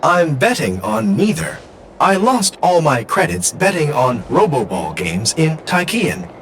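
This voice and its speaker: Earnest robot gambler. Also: male voice, a little high, very animated, a little slow, fairly bright tone, a little hiss or hum. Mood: deadpan. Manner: stiff, mechanical phrasing. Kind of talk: game voice acting.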